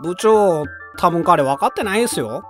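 A performer acting a meek, friendly teenage boy, a self-deprecating club vice-president with a gentle, apologetic manner, in an amateur voice drama. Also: mid-range voice, expressive, normal speed, natural voice. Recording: music under the voice.

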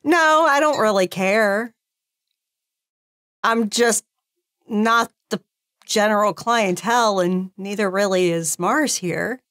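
A woman's voice: mid-range voice, slow pace, long pauses, a bit bright, no echo, clean studio sound. A woman playing in a tabletop game, chatting casually and laughing often. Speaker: player.

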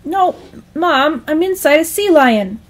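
A woman's voice: sits high, expressive, slow, pitched above the performer's own voice. A woman voicing a nervous, pleading young man in a storybook tale, with a whiny manner. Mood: panicked whine. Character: panicked, pleading young man trapped inside sea lion. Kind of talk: acted storytelling dialogue.

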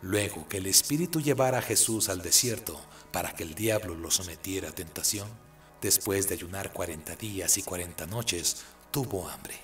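A man's voice: low-pitched, normal speed, fairly bright tone, faint music behind. A man giving solemn, scripted dramatic narration for a radio play.

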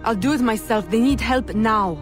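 A woman's voice: fairly high pitch, moderate pace, soft background music, natural voice. An actress playing a commanding young female vigilante of the colonial era in a video game, composed, formal and firm. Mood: concerned, resolute. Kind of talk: scripted video game acting.

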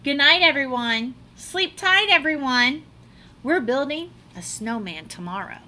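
Animated, high-pitched woman reading a storybook. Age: middle-aged. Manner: animated, dramatic delivery with drawn-out words. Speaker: teacher reading a picture book aloud. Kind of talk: expressive children's storybook read-aloud. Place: small classroom, indoors.

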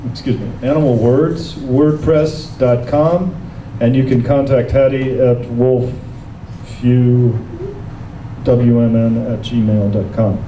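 Dark-voiced man introducing a reader. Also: mid-range voice, fairly even intonation, takes it slowly, slight background noise. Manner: reads from a sheet, occasional slips and self-corrections. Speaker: reading series host. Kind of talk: reading a speaker introduction. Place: small stage in a dark club. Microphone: stand mic. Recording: roomy, distant live-room sound.

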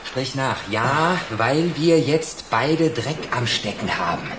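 An actor playing a vengeful, mocking young ex-convict who bullies and threatens in a German radio crime drama. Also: male voice, medium pitch, lively intonation, slow, reverberant, background noise. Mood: gloating menace. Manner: sneering, sarcastic, bullying.

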